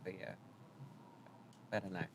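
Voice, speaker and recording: A man hosting a casual live stream chat, relaxed and hesitant with frequent ums. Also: a little slow, low-quality recording. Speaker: host.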